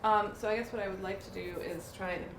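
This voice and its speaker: A woman's voice: medium pitch, fairly even intonation, fast. A woman playing a tabletop role-playing game, talking casually as she describes what her character does. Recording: noisy, echoey room.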